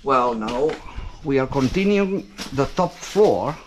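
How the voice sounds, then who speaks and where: A man's voice: mid-range voice, expressive, a little hiss or hum. A man giving casual running commentary as he climbs around an abandoned concrete building outdoors, filmed on an action camera. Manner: unhurried, plainly describing what he sees. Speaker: explorer filming an abandoned building. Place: outdoors on an unfinished concrete structure. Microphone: action camera held or worn.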